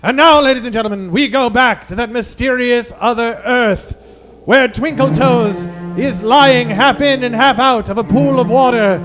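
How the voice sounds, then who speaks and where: Very high-pitched theatrical male radio announcer. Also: normal speed, fairly bright tone, soft background music. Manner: theatrical, grandly suspenseful. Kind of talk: 1940s radio serial announcing.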